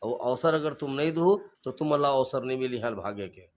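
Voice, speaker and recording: A man's voice: mid-range voice, normal speed, darkish tone. A man with a Chhattisgarhi accent, a regional activist giving fiery, emphatic interview answers and repeating slogans.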